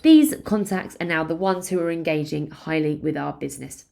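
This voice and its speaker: Lower-pitched woman presenting a tutorial. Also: normal speed, darkish tone. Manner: clear step-by-step explaining. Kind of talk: instructional tutorial narration, conversational.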